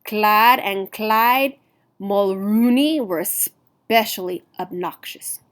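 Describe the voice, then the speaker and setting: A woman reading a picture book aloud to children in an expressive, deliberate storytelling manner. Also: medium pitch. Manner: deliberate, animated storytelling with emphasized words.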